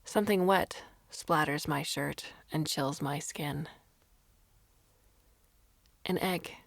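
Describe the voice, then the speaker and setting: Woman, story narrator, lowish creaky voice, expressive scripted reading, very dry studio sound. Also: slow pace, no room sound. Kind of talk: scripted fiction narration, first person.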